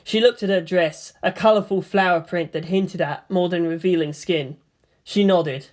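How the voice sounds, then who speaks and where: A woman's voice: fairly low pitch, normal speed, darkish tone. A woman narrating a scripted story in the manner of an audiobook reader. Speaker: fan fiction reader.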